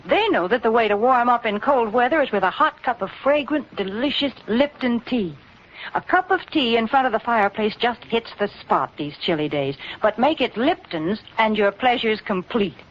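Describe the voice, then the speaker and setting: A woman delivering a chatty, polished sponsor pitch in 1940s radio announcer style. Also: animated, moderate pace. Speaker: commercial announcer. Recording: muffled 1940s radio recording.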